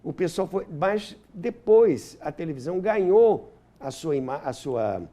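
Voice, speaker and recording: A man's voice: fairly high pitch, sing-song, measured pace, warm tone. An older Brazilian man telling anecdotes as an interview guest, emphatic and repeating words as he searches for the next one. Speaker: interview guest, radio historian and author.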